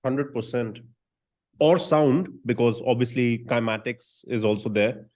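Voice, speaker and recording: A man hosts a podcast interview and mostly listens. He chimes in with short, affirming replies and now and then asks a quick question. His voice sits at an average pitch. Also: darkish tone. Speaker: podcast host.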